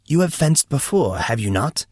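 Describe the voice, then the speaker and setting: A man voicing a courteous, calm, knowing gentleman with formal, polite phrasing in an audiobook story. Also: medium pitch, moderate pace, breathy edge, no echo, clean studio sound, voice pushed up. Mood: courteous, amused.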